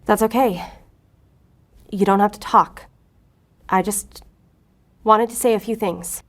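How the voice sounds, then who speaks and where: A woman's voice: mid-range voice, steady tone, takes it slowly. An actress playing an earnest, determined female agent in an audio drama, pleading and pressing. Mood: earnest probing. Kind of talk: audio fiction drama acting.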